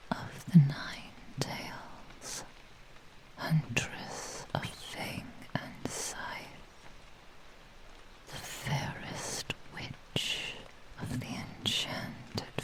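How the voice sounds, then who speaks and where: A woman voicing a proud, possessive, seductive fox-spirit witch in a breathy whisper, with a grandiose, intimate manner, for an ASMR roleplay. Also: low voice, background noise, full character voice. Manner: slow, lingering, grandiose, intimate.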